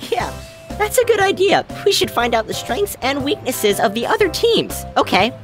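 A woman's voice: high-pitched, big pitch swings, moderate pace. A woman voicing an upbeat, easygoing boy who organizes his football team in a cartoon. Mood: agreeable. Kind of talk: animated cartoon dialogue. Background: background music.

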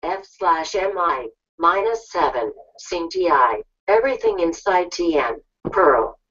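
A woman's voice: on the low side, expressive, measured pace, fairly bright tone, breathy edge. A synthetic female text-to-speech voice reading out text as a computer screen reader. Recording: dull, distant playback from computer speakers.

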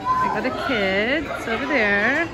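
A woman's voice: fairly high pitch, animated, takes it slowly. A mother filming her young daughter at a children's museum, coaxing and commenting as they explore. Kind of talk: casual on-the-spot commentary. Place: busy indoor children's museum. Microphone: phone camera held while filming. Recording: loud crowd and noise of a busy public space.

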